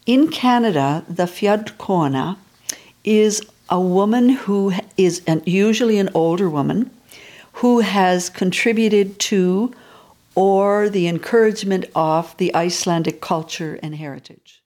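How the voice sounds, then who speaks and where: A woman's voice: mid-range voice, measured pace, darkish tone. An older woman, an honored community elder, carefully explaining a tradition in an interview.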